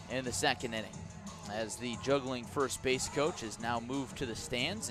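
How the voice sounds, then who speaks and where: A man with an American accent doing conversational live radio baseball play-by-play, mixing clipped pitch calls with storytelling and wry asides. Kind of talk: live radio sports commentary mixed with storytelling.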